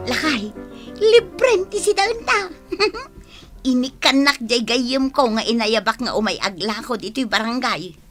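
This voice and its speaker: A woman's voice: on the high side, lively intonation, a little slow, a bit bright, faint music behind. A woman with an Ilocano Filipino accent acting a gloating, meddling village wife in a radio comedy drama. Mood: defiant. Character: gloating, meddling village wife, scheming against rival vendors.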